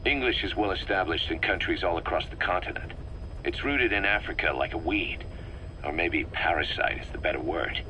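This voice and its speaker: Give this to a bitter, suspicious military commander from a dramatic game. His voice is fairly low with a sharp, forceful edge, and he talks tersely and accusingly, over a slightly noisy tape recording. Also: expressive, normal speed. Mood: matter-of-fact, frustrated. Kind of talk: dramatic video game voice acting.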